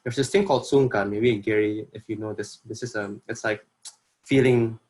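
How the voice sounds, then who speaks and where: Young man, Indonesian accent, low pitch, informal online presenter, earnest, halting phrasing. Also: steady tone, a little mellow. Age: young adult. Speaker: workshop presenter. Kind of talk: informal online workshop talk. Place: online video call.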